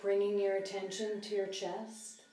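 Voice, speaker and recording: A woman guides a body scan meditation in a soft, mellow voice. She gives gentle instructions with long pauses. The recording is roomy and a little distant from the mic, with music behind her. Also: mid-range voice, little pitch movement.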